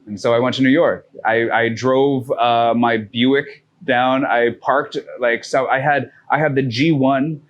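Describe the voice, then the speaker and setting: A man's voice: mid-range voice, steady tone, unhurried, few pauses, highs cut off, slight background noise. A man from the North Shore of Massachusetts, a podcast guest telling a long, rambling story in casual chat, swearing freely and restarting his sentences. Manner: profane, rambling, loose with fillers and restarts.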